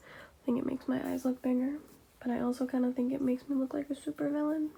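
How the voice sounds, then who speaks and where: A woman vlogger chatting casually behind the scenes, soft-spoken and often breathy, nearly whispering. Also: sits high, level tone, fast talker. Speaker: ASMR video creator and vlogger.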